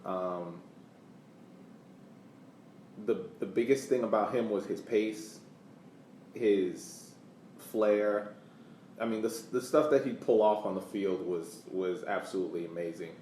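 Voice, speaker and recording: A man co-hosting a sports podcast with casual commentary, deliberately repeating words for emphasis. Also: on the low side, dark tone. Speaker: podcast co-host. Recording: echoey room, distant mic, faint noise.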